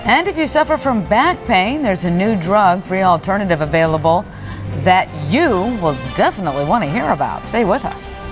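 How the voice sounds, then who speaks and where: A woman's voice: medium pitch, big pitch swings, moderate pace, over loud music. A woman with an American accent reading scripted health news as a television anchor.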